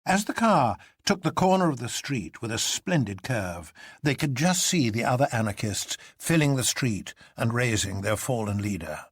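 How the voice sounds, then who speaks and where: A man's voice: mid-range voice, expressive, normal speed, few pauses, husky, no room sound, studio quality. A man reading a literary adventure story aloud as a dramatic narrator. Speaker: audiobook narrator.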